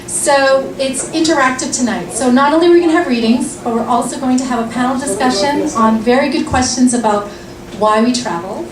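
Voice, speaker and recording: A woman's voice: high voice, brisk, crowd noise. Woman from vancouver hosting in a lounge, lots of ums, sounds far off in an echoey room. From Vancouver, Canada. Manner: chatty, lots of ums and restarts. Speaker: event host and author. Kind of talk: casual event introduction and book reading. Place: lounge event room, indoors. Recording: roomy lounge, mic far off, noisy.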